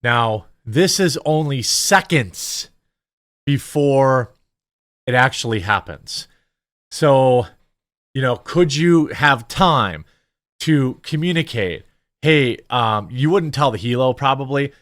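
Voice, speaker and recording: Male commentator, mid-range voice, dry close-mic sound, conversational and hesitant, thinking out loud as he walks through footage. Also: medium pitch, expressive, slow pace, dry recording. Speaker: commentator and show host. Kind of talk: casual news commentary over footage.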